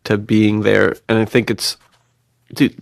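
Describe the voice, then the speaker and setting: A man with a fairly low voice and plenty of vocal fry chats as a podcast co-host. He talks in a loose, slangy, agreeable way, and the recording is close and dry. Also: monotone, measured pace, dry recording. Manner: slangy and agreeable, calls his co-host dude. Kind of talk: casual conversational podcast chat.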